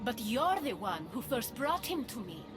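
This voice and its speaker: A woman's voice: on the high side, sing-song, moderate pace, music in the background. A woman playing an imperious, proud goddess queen in a video game, haughty and commanding with a cold, wounded edge. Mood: betrayed anger. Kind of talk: dramatic video game cutscene acting.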